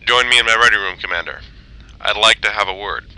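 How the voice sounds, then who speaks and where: A man's voice: on the low side, quick pace, bright, forceful tone, clear, resonant tone, rough recording. An actor playing a stern male starfleet admiral who gives clipped, commanding orders.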